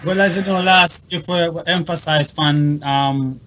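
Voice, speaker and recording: A man's voice: fairly high pitch, fairly even intonation, measured pace, a bit bright, slight background noise. A man on a talk show panel explaining things earnestly in fairly formal wording, often adding "you know".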